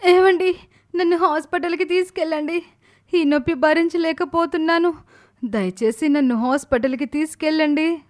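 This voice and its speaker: A woman's voice: sits high, normal speed, close to an everyday voice. A woman voicing a suffering wife in a storybook tale, crying in pain and pleading with her husband. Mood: agonised pleading. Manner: wailing, pleading.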